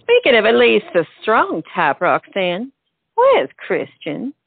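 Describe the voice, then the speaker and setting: A woman as a wry, teasing, slow-talking friend. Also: medium pitch, wildly swooping pitch, gravelly, breathy edge, no room sound, heavily acted. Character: bossy, teasing, skeptical lady friend. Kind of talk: stage comedy acting. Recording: tinny, narrow-band sound.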